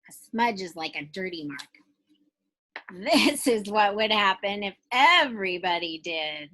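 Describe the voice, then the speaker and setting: A woman's voice: mid-range voice, animated, slow, pushed and bright. A woman teacher reading a picture book aloud to young children, stretching out phrases expressively and putting questions straight to her listeners.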